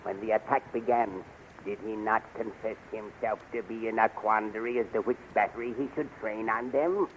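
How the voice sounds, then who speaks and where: An actor playing a scheming older majordomo, formal and obsequious, in a 1930s radio serial. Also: male voice, lowish voice, steady tone, moderate pace, close to an everyday voice. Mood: insinuating. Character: scheming, flattering older male courtier and majordomo. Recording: noisy 1930s radio recording.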